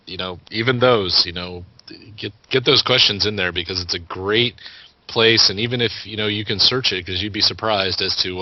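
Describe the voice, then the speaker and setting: A man's voice: on the low side, sharp tone, dry recording, highs cut off. A man co-hosting a gaming podcast, talking in long rambling stretches with hesitations. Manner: rambling, many fillers and restarts. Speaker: podcast co-host. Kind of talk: casual chat.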